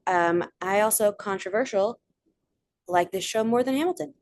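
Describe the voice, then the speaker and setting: A young woman talks through a presentation in a chatty, easygoing way, full of little asides and filler words. Her voice sits at an ordinary middle pitch for a woman. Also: expressive, normal speed, a little mellow. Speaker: presenter of a theater talk. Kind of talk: casual online presentation.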